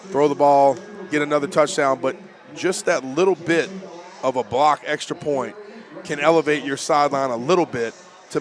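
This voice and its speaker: A man with a stuffy, nasal voice from a cold, giving coach-like color commentary on a high school football game from the press box. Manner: coach-like, folksy analysis.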